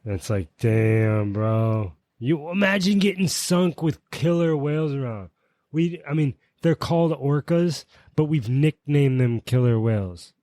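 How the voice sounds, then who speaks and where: A man's voice: mid-range voice, expressive, a little slow, mellow, no echo. A man co-hosting a podcast in casual banter, blunt and joking, with frequent swearing. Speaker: podcast co-host.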